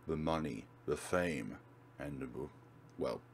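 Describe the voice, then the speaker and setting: A man's voice: very deep voice, expressive, slow pace, a little hiss or hum. A man hosting a let's play, reading game text aloud and commentating with wry, theatrical asides. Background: game music.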